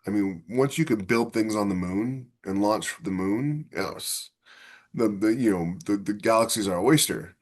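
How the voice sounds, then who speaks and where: A man's voice: on the low side, unhurried. A man co-hosting a podcast, giving his opinions in casual conversational discussion. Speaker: podcast co-host.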